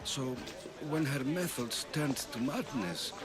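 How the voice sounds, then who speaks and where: An actor playing a feeble, devout old temple priest in a video game, halting and evasive, telling stories with reverence. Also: male voice, mid-range voice, lively intonation, a crowd in the background. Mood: hesitant, confiding. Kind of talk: video game character dialogue. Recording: loud background music.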